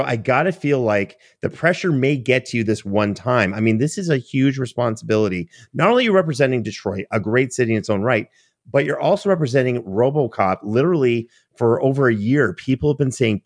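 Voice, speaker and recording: A man's voice: medium pitch, expressive, a little fast, dry recording. Chatty male podcast host, close sound. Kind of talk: conversational show hosting with banter.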